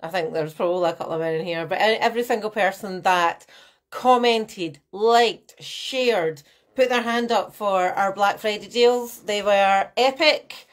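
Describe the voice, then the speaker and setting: A woman's voice: mid-range voice, measured pace. A woman, a nutrition coach chatting through a live masterclass from a small room at home on her laptop mic. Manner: chatty, animated, gestures a lot, greets viewers by name. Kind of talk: live-stream masterclass to viewers. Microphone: laptop webcam mic.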